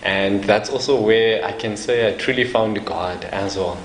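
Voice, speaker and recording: A young man from South Africa speaks in a low voice as the guest of an interview, casual and easygoing. He laughs often and restarts his sentences as he goes. The room is echoey, with a faint hiss behind him. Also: unhurried. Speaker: evangelist guest.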